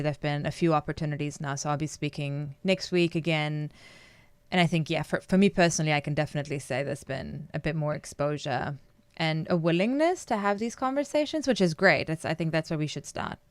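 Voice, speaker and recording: A woman with a low, smooth voice talks through her views in a relaxed interview, laughing often as she explains. She grew up in Germany after being born in Iran. The sound is close and dry, with no room around it. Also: a little fast, no room sound. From Iran, raised in Germany. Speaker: academic guest. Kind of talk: casual interview conversation.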